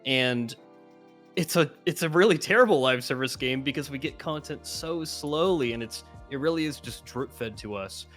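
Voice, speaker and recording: A man with a mid-pitched voice chats casually about video games, stressing his points with emphasis. Faint music plays behind him. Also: lively intonation, normal speed, fairly bright tone. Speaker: gaming YouTuber. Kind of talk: casual video game discussion.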